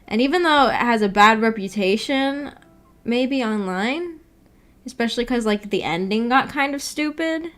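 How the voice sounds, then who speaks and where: A woman's voice: a little high, expressive, moderate pace, a bit bright. Young woman streamer chatting informally. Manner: rambling, giggly, informal, reads comments aloud. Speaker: VTuber livestreamer. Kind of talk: casual livestream chat, answering viewer comments.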